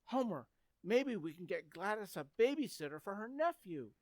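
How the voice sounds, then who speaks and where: A woman playing an anxious, excitable teenage boy with whiny, flustered exclamations in old-time radio comedy style. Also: medium pitch, normal speed, no room sound, studio quality, lightly acted.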